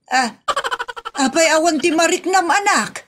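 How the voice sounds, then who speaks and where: A woman's voice: very high-pitched, a little slow, background noise. A woman with an Ilocano accent acting as a scolding, easily mortified mother in a comedy audio drama, exclaiming in exasperation.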